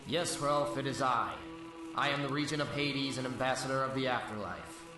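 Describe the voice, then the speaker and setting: A man's voice: medium pitch, fairly even intonation, moderate pace. Man as heavily echoing ghostly avenger. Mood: solemn. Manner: grave, commanding, formal. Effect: heavy echo. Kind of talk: old-time radio drama acting. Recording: very echoey, distant, music behind.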